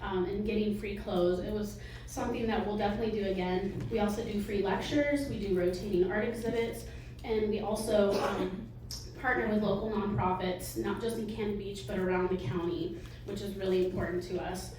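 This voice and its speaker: A soft-spoken woman, a museum director, giving a live fundraising pitch with nervous little laughs and many ums. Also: fairly even intonation, brisk, a little hiss or hum. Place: meeting hall with audience. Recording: echoey hall from far off.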